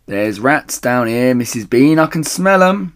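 A man voicing a huge, grumbling servant woman in a children's storybook, calling out loudly up the stairs. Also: mid-range voice, lively intonation, a little slow, slight background noise, voice pushed up, lightly acted.

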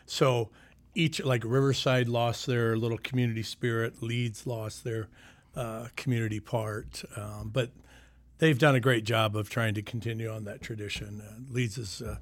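A man's voice: medium pitch, little pitch movement, normal speed, no room sound. A retired sheriff in his sixties from Sioux City, chatting easily about old times with lots of fillers. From Sioux City, Iowa. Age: 60s. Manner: reminiscing, easygoing, trails off with fillers. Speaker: retired county sheriff, guest. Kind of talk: casual interview chat.

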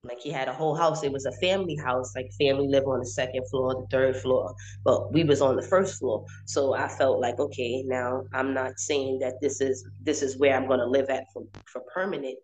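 A woman's voice: very deep voice, steady tone, a little fast, few pauses, darkish tone, a little hiss or hum, low-quality recording. A woman in her late twenties telling her own story casually and candidly, repeating phrases for emphasis. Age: 20s.

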